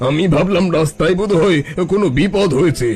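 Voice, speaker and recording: A man's voice: high-pitched, fast, darkish tone. A man voicing a sly, wheedling fake holy man in a cartoon folktale. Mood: ingratiating. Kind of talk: Bengali cartoon folktale acting. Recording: music bed behind the voice.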